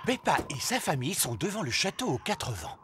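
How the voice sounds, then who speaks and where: A man's voice: on the high side, big pitch swings, a little fast, very noisy. A man playing the friendly storytelling narrator of a French children's cartoon.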